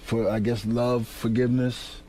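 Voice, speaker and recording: A soft-spoken man recalling things as a guest, halting and hesitant with many pauses. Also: medium pitch, level tone, over a noisy crowd, low-quality recording. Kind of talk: halting recollection.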